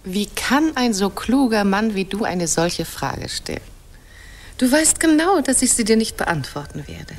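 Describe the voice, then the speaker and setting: A woman's voice: mid-range voice, big pitch swings, unhurried, a little hiss or hum. A woman playing a proud, faithful but hurt queen in a radio play, solemn and insistent. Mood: reproachful. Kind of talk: classic German radio play acting.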